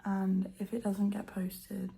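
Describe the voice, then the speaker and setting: A teenage girl vlogging at home, her voice croaky from just waking up, talking softly and half-whispering. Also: mid-range voice, completely flat delivery, takes it slowly. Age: teens. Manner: soft, half-whispered, nervous chatter. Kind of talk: casual to-camera vlog. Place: at home indoors.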